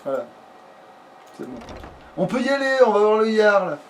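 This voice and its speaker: A man's voice: high-pitched, sing-song, moderate pace. A man giving casual let's play commentary, muttering to himself in informal, mildly crude language. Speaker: let's player. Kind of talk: casual gameplay commentary with a friend. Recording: noisy amateur recording.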